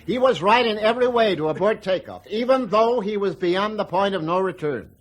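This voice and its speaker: A man's voice: sits high, lively intonation. An actor playing a reassuring, defensive aircraft company spokesman in a radio drama, explaining formally and carefully. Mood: defensive, explanatory. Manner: measured, formal explaining with hedged emphasis.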